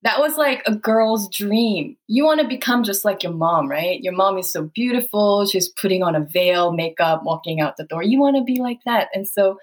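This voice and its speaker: A woman's voice: medium pitch, moderate pace, hardly any pauses. A woman from South Korea with a Korean accent, whose first language is Korean, answering interview questions in an earnest, reflective way and laughing often as she recalls things. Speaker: guest.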